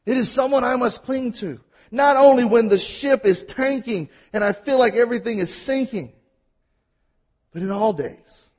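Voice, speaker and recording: A man with a Mississippi accent preaching a sermon, telling stories and at times talking fast. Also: very high-pitched, darkish tone, slightly rough. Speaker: pastor.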